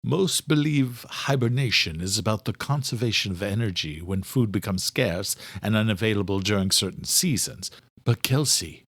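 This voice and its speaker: A man's voice: on the low side, husky, dry recording, exaggerated voice. A man playing an aging, proud scientist in audiobook dialogue, earnest and emphatic as he lectures on his obsession.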